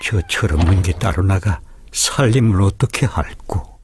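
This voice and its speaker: A slow musing old scholar. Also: male voice, deep voice, animated, over loud music. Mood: fatherly. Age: older. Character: elderly widowed scholar father, gentle. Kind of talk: cartoon voice acting.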